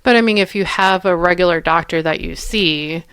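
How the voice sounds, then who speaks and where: A woman's voice: lowish voice, normal speed, fairly bright tone, clear, resonant tone, dry recording. A woman co-hosting a podcast in casual chat, agreeable and sprinkling her speech with "like". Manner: agreeable, lots of "like" fillers, short backchannels. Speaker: podcast co-host.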